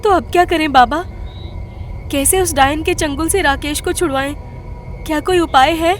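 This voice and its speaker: A woman playing a brave, earnest young woman in an animated horror story, with a pleading manner. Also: high voice, normal speed, slight background noise, natural voice. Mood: anxious.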